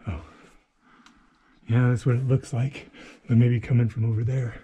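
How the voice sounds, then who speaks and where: A man exploring an abandoned mine tunnel, thinking aloud in casual commentary and often dropping to a hushed, near-whispered voice. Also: medium pitch, level tone, moderate pace, lo-fi sound, slight background noise. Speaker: mine explorer and channel host.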